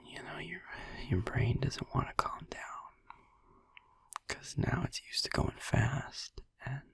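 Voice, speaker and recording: A man playing a sleepy, gentle, reassuring vampire boyfriend in a whispered sleep-aid audio roleplay, rambling in a hesitant, breathy, husky murmur. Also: mid-range voice, animated. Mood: drowsy, rambling. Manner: rambling, hesitant, halting, drowsy.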